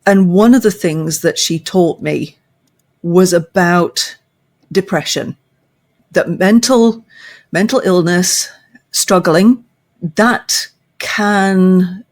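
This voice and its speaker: An older woman, a podcast guest, discussing literature thoughtfully with measured, emphatic phrasing and deliberate pauses. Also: lowish voice, darkish tone. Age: older, past fifty.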